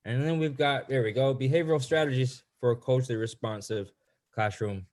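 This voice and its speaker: A man presenting at an online teacher webinar, speaking in a relaxed, halting way with frequent fillers. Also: medium pitch.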